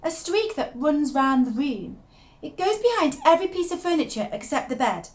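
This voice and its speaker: High-voiced, obsessive wife, acted by a woman. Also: sing-song, normal speed. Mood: obsessed, uneasy. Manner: confiding, intense, theatrical, with shifts from hushed to emphatic. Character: unravelling, obsessive young wife confiding secrets in her diary. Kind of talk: dramatic first-person monologue reading.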